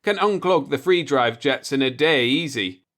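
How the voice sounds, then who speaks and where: A man's voice: mid-range voice, expressive, moderate pace, a bit bright, pitched above the performer's own voice, close to an everyday voice. A man voicing a plucky, wry male spaceship mechanic with clipped, slangy talk in a sci-fi audiobook. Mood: wry, unfazed. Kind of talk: storybook dialogue in a sci-fi audiobook.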